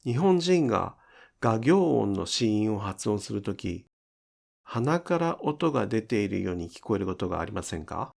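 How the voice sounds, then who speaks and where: A man teaching pronunciation in an instructional style, enunciating deliberately and carefully for language learners. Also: fairly low pitch, very animated, gravelly, a little mellow. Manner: deliberate, careful enunciation with demonstrated example words.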